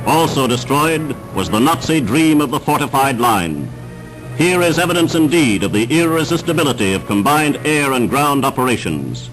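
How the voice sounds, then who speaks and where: A man's voice: medium pitch, very animated, moderate pace, poor audio. A man reading a wartime newsreel script in a formal, emphatic, declamatory 1940s style. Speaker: newsreel narrator. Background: dramatic newsreel music.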